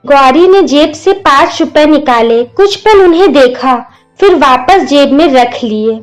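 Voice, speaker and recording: Female storyteller for scripted moral tale, high register, unhurried pace, music bed under the voice. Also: animated. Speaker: narrator. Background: instrumental music.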